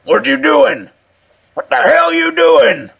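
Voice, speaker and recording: A man voicing a frightened old homeless man with a hoarse voice and rough street speech in a story. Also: mid-range voice, animated, measured pace, sharp tone, pitched above the performer's own voice, full character voice. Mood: frantic, alarmed.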